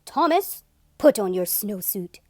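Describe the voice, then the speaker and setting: Stern storybook headmaster, played by a woman: indignant, forceful clipped orders, very little room sound. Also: mid-range voice, very animated, unhurried, no room sound, clean studio sound, pitched below the performer's own voice. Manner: loud, clipped commands. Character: stern bossy male school principal, indignant. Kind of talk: children's storybook read-aloud.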